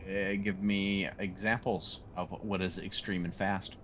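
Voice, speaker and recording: A man's voice: low-pitched, flat delivery, measured pace, fairly bright tone. A man playing a tabletop game, chatting casually and hesitantly as he searches for words. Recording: clearly noisy tabletop session recording.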